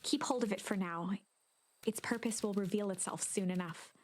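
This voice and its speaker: A woman playing a mysterious, kindly storybook narrator in a game, speaking in a measured, theatrical way. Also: medium pitch, slight background noise, lightly acted. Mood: pleased, warm. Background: guitar music.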